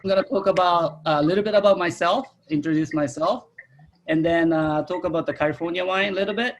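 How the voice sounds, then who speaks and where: A middle-aged man from Japan with a Japanese accent, speaking non-native English haltingly in an informal talk about himself on a video call. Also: sits high, lo-fi sound. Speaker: winemaker, guest speaker.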